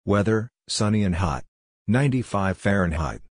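A man with a fairly low voice narrates a practice drill in short scripted phrases. His delivery is measured, with letters and digits spelled out singly. The recording is dry, with hardly any room sound. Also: lively intonation, husky, dry recording.

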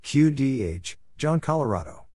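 A man reading scripted radio-practice exchanges in short, deliberate, clearly separated phrases. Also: medium pitch, lively intonation, a little mellow, a little hiss or hum. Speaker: Morse code practice narrator.

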